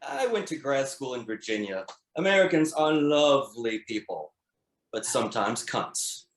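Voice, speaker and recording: A man's voice: medium pitch, big pitch swings, normal speed. A man playing a confident, teasing, expansive Italian gondolier in a screenplay table read. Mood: smooth, teasing. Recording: echoey, roomy sound.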